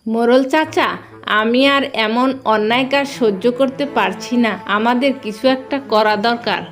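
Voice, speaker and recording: A woman acting an earnest young cartoon villager, troubled by wrongdoing. The voice is fairly high and unhurried, with faint music behind it. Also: natural voice.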